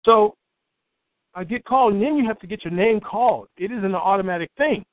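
Booming outspoken man from Chicago. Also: brisk. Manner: outspoken, repeats words when excited. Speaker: guest poet and storyteller. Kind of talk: animated storytelling in an interview. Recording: tinny phone-like line, dry.

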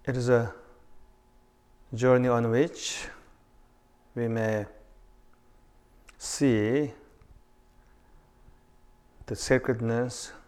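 Calm guy doing guided meditation, flat steady pitch, long pauses, really clean smooth voice. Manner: calm, unhurried, short phrases with long pauses.

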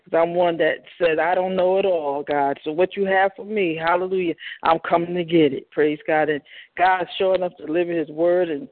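A woman's voice: on the low side, fairly even intonation, moderate pace, slight background noise. A woman, a prophetess, giving a short exhortation and filling her phrases with "praise God" and "hallelujah". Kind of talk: exhortation by phone. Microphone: phone. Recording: tinny phone line.

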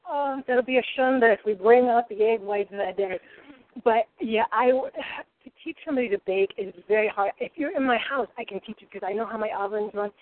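Chatty rhode island lady in her 40s, loud and rambling, sounds like a phone line. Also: on the high side, a little fast, no echo. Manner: boisterous, verbose, rambling. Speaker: guest cook. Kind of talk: casual chat.

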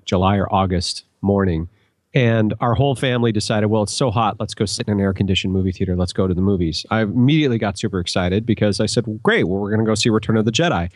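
A man's voice: on the low side, dry recording. A middle-aged American man chatting casually as a podcast guest, telling anecdotes.

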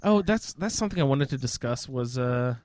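A man's voice: medium pitch, lively intonation, fairly quick, a little mellow, no echo, clean studio sound. A man hosting a casual, informal roundtable discussion about a video game. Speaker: podcast host.

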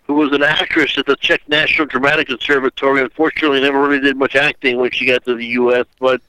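A man's voice: mid-range voice, level tone, fast talker, pushed and bright, clean tone, no room sound, low-quality recording. An older man from Austria, a guest author on an internet call, talking in a rambling way with many fillers and restarts. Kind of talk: phone interview. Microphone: internet call audio.

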